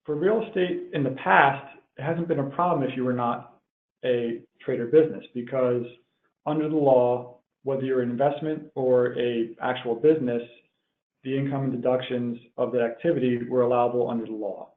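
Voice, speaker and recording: A man presenting a technical webinar in a measured, explanatory way, as if working through prepared points. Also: medium pitch, fairly even intonation. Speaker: webinar presenter, tax professional. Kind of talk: explanatory tax webinar lecture. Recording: tinny phone-like line, roomy echo.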